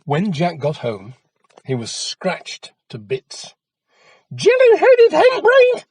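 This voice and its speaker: Male folktale narrator for children: measured, expressive, husky, recorded close and dry. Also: medium pitch, no echo. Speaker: storyteller.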